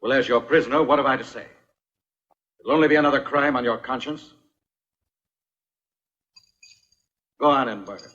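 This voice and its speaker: An actor playing a dignified captive king and protective father in a dubbed historical film, speaking gravely and with measured care. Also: medium pitch, a bit bright, natural voice. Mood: proud defiance. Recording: dull dubbed film soundtrack with music.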